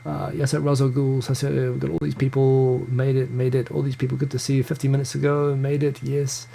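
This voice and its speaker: Gravelly New Zealand man, live chat. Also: medium pitch, flat delivery, fairly quick, mellow, slight background noise. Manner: chatty, stumbling restarts, greets viewers by name. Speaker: live show host reading viewer comments. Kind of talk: casual live-stream chat. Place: home room in Tokyo.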